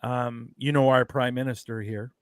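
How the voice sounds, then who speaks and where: A man's voice: mid-range voice, steady tone, moderate pace, no echo, studio quality. A man hosting a casual online talk show, rambling and digressive as he chats over a video call. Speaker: show host.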